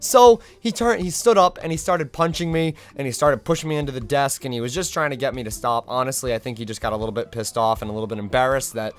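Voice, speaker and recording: A young man, hoarse from losing his voice, telling his own school stories in a chatty, self-deprecating way as a video storyteller. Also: medium pitch, quick pace, hardly any pauses. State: hoarse, recovering lost voice. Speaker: vlogger. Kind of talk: casual storytime monologue.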